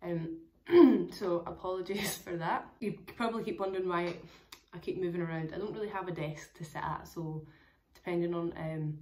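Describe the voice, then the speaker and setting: A young woman talks casually to a camera in a small room at home. Her voice sits a little low for a woman and sounds hoarse and crackly. She rambles along with plenty of "like" and "um.". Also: steady tone, normal speed, warm tone. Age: young adult. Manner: chatty, rambling, many fillers. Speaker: travel vlogger.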